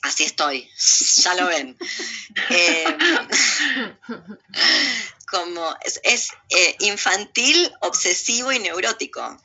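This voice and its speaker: A woman's voice: on the low side, takes it slowly, long pauses, sharp tone. A woman with a Buenos Aires accent giving emphatic, sardonic, digressive political opinions as an interview guest on a muffled recording. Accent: Buenos Aires Argentine Spanish. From Argentina.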